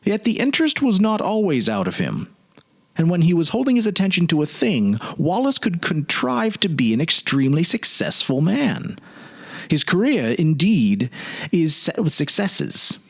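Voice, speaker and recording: A man giving a dramatic reading of a literary story, with deliberate phrasing and expressive pauses. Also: fairly high pitch, vocal fry. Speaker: presenter and narrator.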